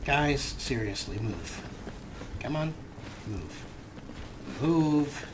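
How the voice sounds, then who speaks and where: A man with a middle-pitched voice talks through his gameplay in a relaxed, explaining way, slipping in dry, wry jokes. Clear background noise runs behind his voice. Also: very slow. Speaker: let's play host. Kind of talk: casual game commentary.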